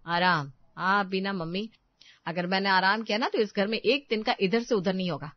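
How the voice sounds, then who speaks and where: A woman's voice: mid-range voice, normal speed, no room sound, dull audio, close to an everyday voice. A woman voicing a young daughter-in-law, martyred and self-important, grumbling with sarcasm in a family story. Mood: self-pitying. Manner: sarcastic, put-upon grumbling. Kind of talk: Hindi family story acting.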